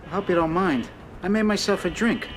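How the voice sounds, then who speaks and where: A man's voice: high voice, fairly quick, natural voice, low-quality recording. An actor playing an unsure, eager-to-please man in a mafia family, in a video game cutscene. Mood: ingratiating.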